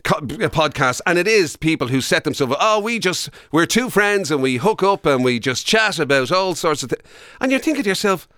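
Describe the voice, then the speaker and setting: A middle-aged Irish man co-hosts a comedy podcast in casual, bantering chat. His voice is high and bright, recorded close and dry. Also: big pitch swings, a little fast, no room sound. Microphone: close microphone.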